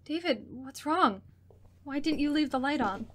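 A woman's voice: high-pitched, expressive, measured pace, slight background noise. A woman playing an anxious, grieving young fiancée in a horror radio drama, hesitant and pleading. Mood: alarmed, pleading. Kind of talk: old-time radio horror drama acting.

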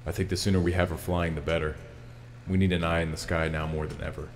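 A man's voice: sits low, level tone, fast talker, a little hiss or hum. A man playing a grim, resolute vigilante hero in an audio drama, speaking in a terse, stern way.

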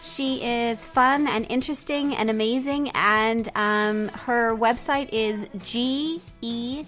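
A woman hosting an interview podcast, relaxed and casual, with many pauses and short interjections. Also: fairly high pitch, faint music behind. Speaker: podcast host.

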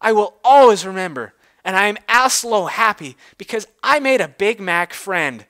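A reader putting on an earnest, animated pony heroine. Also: mid-range voice, moderate pace, lightly acted. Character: earnest young female pony turned mother spider.

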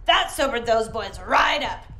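A woman's voice: a little high, animated, unhurried, bright, forceful tone. An actress playing a chatty, eccentric mother who rambles with dramatic self-pity in an audio drama. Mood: gushing. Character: chatty, eccentric, guilt-tripping mother held captive. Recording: clear background noise.

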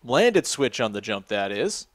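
A man's voice: mid-range voice, measured pace, no room sound. A man doing live play-by-play commentary at a ski event, steadily reading out scores and tricks. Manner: steady, informative, reads out scores.

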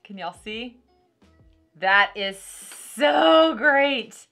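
Bright-voiced Texan woman, gushing and animated. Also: a little high, very slow, faint music behind. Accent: Texan American. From Texas, United States. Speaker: unboxing video host. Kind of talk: casual product unboxing chat. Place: small decorated room at a table, indoors.

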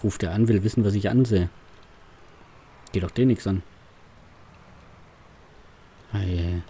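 A man's voice: lowish voice, normal speed, warm tone, slightly rough. A man, roleplaying a farmer and muttering grumbling commentary to himself. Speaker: farmer roleplayer, let's player.

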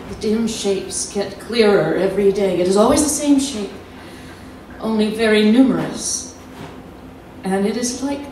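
An actress performing a theatrical monologue as a lonely, nervous, confined wife slipping into obsessive madness, halting and dramatic with drawn-out words. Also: female voice, mid-range voice, mellow, lightly acted. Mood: uneasy, haunted. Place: live performance in a cafe venue. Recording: very echoey room, distant mic, noisy.

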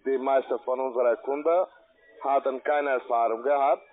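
A man's voice: medium pitch, fairly even intonation, moderate pace. Foreign-accented salesman on a tinny phone line. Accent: foreign accent in German. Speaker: account manager, telephone salesman.

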